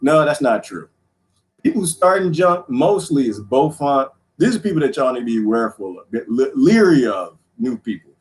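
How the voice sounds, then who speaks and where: A man hosting a casual livestream, rambling and joking with viewers, laughing often, his voice going hoarse. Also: medium pitch, big pitch swings, moderate pace, low-quality recording. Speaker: livestream host.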